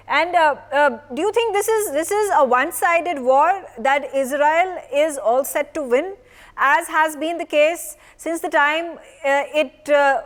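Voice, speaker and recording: A woman with an Indian accent anchoring a live news broadcast, putting drawn-out, halting questions to guests. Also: sits high.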